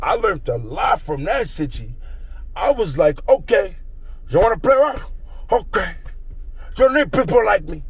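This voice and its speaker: A man's voice: sits high, very animated, unhurried, pauses often, slightly rough, no room sound. Rambling street storyteller, slangy man. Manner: slangy, rambling, repeats a catchphrase. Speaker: rapper telling a story.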